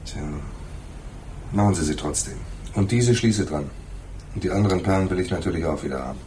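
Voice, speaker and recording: An actor playing a laconic, world-weary hardboiled private detective in a radio play, speaking in a terse, deadpan manner. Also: male voice, low voice, a little fast, a little hiss or hum, close to an everyday voice. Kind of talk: German radio play acting with noir first-person narration.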